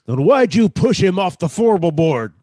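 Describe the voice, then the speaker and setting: Guy playing a suspicious state cop in a radio play, blunt grilling, kinda high voice, real dry sound. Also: big pitch swings, normal speed, dark tone, no echo. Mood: accusing. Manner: blunt interrogator. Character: suspicious, accusing state policeman. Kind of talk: old-time radio drama acting.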